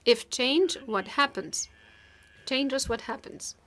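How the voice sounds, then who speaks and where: A woman with a high voice interprets a formal speech live. She delivers it piece by piece, halting between short phrases and stretching words as she goes. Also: fairly bright tone. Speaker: simultaneous interpreter.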